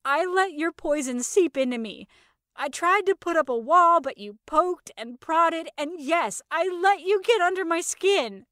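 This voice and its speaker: A woman playing an excitable, sarcastic young heroine in audio fiction, with emphatic theatrical delivery. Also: extremely high pitch, unhurried, no room sound, studio quality, voice pushed up, full character voice. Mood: hurt, defiant. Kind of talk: first-person audio fiction narration with acted dialogue.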